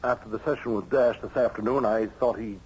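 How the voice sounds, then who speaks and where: An actor playing an urgent, manipulative doctor in an old-time radio drama, insistent and dramatic. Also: male voice, fairly low pitch, steady tone, brisk, a little mellow, close to an everyday voice. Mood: defensive. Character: urgent, manipulative doctor, an agitated family friend. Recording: dull, hissy old radio recording.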